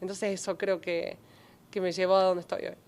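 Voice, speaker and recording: Animated Cordoban Argentine woman storyteller. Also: fairly low pitch, moderate pace, creaky. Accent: Cordoban Argentine Spanish. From Córdoba, Argentina. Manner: animated storytelling with many fillers and restarts. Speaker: journalist and travel creator guest. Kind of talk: podcast interview.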